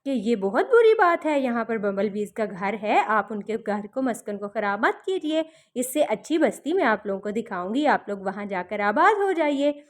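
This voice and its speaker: Woman playing a tiny fairy-tale girl with wings, high pitch, sweet, earnest. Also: big pitch swings, moderate pace. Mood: earnest persuading. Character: tiny winged girl, kind, cheerful and self-assured.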